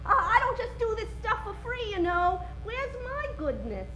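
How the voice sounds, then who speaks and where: Very high, ditzy wharf dame. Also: female voice, sing-song, moderate pace, sharp tone, slight background noise, exaggerated voice. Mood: flirty, eager. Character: ditzy, flirty wharf dame, greedy for goods. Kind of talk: old-time radio comedy acting.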